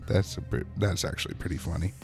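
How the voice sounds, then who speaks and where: Male recap host, lowish voice, wry and colloquial, casual narration over faint music. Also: vocal fry, mellow, breathy edge. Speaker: host of a TV episode recap show.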